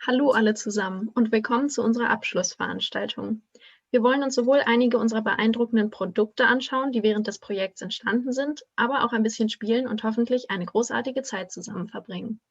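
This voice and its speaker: A woman speaks quickly in a fairly high yet creaky, husky voice. She reads a formal welcome, and the audio is a little dull. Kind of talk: scripted welcome.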